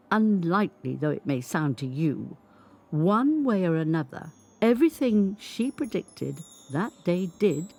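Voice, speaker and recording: An actress playing an 85-year-old grandmother from Birmingham, who looks back on her life in a measured, unhurried monologue. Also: lowish voice, a little mellow. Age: older. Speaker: narrator. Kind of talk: scripted dramatic monologue.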